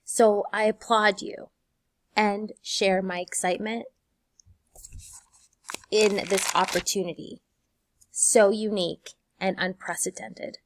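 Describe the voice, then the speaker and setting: A woman in her thirties, a teacher whose first language is Spanish, speaks to her incoming class in a slow, measured way. Her tone is earnest and encouraging. The recording is dry and close, with no room sound. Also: mid-range voice, steady tone, dry recording. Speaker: high school Spanish teacher. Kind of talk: scripted welcome message to students.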